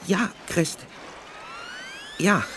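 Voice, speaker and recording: A woman's voice: on the low side, extremely slow, background noise. An actress playing a timid, obedient young mutant in a 1980s radio drama, hesitant and straining as she speaks. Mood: strained, timid.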